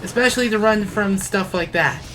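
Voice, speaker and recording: A woman giving casual video game commentary, hesitating often and correcting herself as she goes. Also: mid-range voice. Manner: halting, self-correcting, frequent hesitations. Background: game music.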